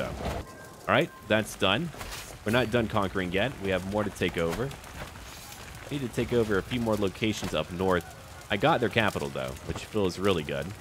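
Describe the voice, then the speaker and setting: A man's voice: deep voice, background noise. A man giving in-character commentary on a strategy game he is playing, thinking aloud in short, clipped remarks as a ruler. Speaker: gaming video host.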